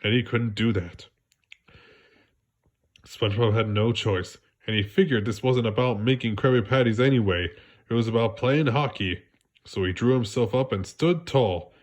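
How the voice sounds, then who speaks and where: A man's voice: medium pitch, normal speed. A man narrating a storybook read-aloud with a congested, stuffy voice. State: congested, coughing.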